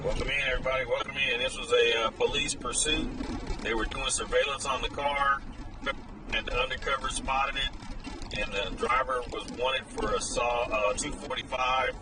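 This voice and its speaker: Male live streamer, plainspoken and explanatory, recorded at a distance amid loud vehicle noise. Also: medium pitch, steady tone, moderate pace. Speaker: live stream host monitoring police scanner. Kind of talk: casual live commentary on police activity. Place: in a car out on city streets. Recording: noisy car and street, distant mic.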